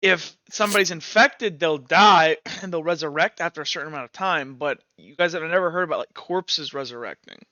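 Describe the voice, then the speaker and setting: Male game master, fairly high and forceful, informal explainer, dry lo-fi sound. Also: brisk, hardly any pauses, no room sound. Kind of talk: casual explanation during tabletop roleplay.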